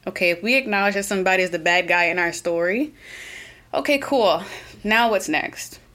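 A woman with an Oklahoma twang hosting her own solo podcast, talking earnestly and reflectively, pausing and restarting as she thinks aloud. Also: mid-range voice, fairly bright tone. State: a little nervous. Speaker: podcast host.

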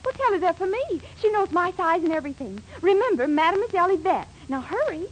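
An actress playing a plucky, scheming young sweetheart with an American accent, girlish and brisk, in 1940s radio sitcom style. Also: very high-pitched, very animated, low-quality recording. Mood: eager, scheming. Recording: hissy 1940s radio recording.

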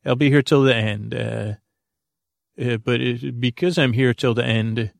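A man with a heavily creaky, gravelly voice talks as the host of a bedtime podcast. He rambles and halts, goofily drifting off on tangents as he improvises. The sound is dry and close, at a middling male pitch. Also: fairly even intonation, no echo. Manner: meandering, halting, goofy, trails into tangents.

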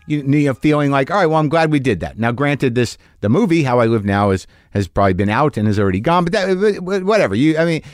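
Male podcast host, 50s, middle pitch, rambling and halting, dry close studio sound. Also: lively intonation, dry recording. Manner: rambling, halting with many restarts. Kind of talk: casual podcast chat and monologue. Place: podcast recording garage.

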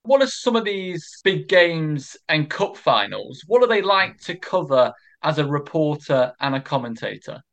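A man interviewing a guest, putting slow, measured questions. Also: fairly high pitch, big pitch swings, vocal fry, a bit bright. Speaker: podcast interviewer.

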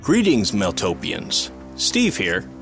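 Low-voiced man announcing over music. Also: wildly swooping pitch, takes it slowly, vocal fry. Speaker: podcast announcer. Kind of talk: scripted promotional narration. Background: prominent music.

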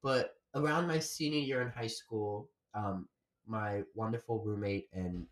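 A man's voice: lowish voice, measured pace. A young man from Florida, a guest comedian in a casual chat, rambling and swearing freely with lots of fillers. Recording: roomy echo.

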